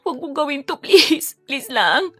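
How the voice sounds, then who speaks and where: Audio drama heroine acted by a woman: frightened yet defiant, very high and loud, desperate, music underneath. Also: very animated, unhurried, no room sound. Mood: pleading. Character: terrified, defiant young woman fighting off an attacker.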